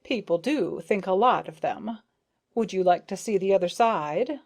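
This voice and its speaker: A woman voicing a good-natured but excitable male rose beetle with an indulgent, proud manner. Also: mid-range voice, normal speed.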